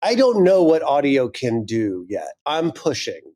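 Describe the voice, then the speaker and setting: A man who writes audio drama, talking candidly and reflectively as an interview guest, sometimes in quick bursts. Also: a little high, sing-song, warm tone.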